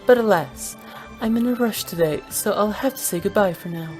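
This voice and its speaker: A woman's voice: mid-range voice, normal speed, a little mellow, close to an everyday voice. An actress plays a playful young female game character with a mid-range voice. She speaks in a theatrical, teasing, mock-formal way, over faint music. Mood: breezy, teasing. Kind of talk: video game voice acting.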